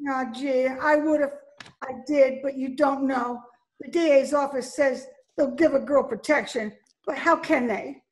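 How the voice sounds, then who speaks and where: Woman playing high-pitched, frightened gangster's moll. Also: fairly even intonation, a little slow, low-quality recording. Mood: confessing. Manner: breathless pleading, slangy "gee" and "ya". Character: frightened young gangster's moll, pleading and streetwise. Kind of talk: old-time radio play acting. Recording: home video-call recording.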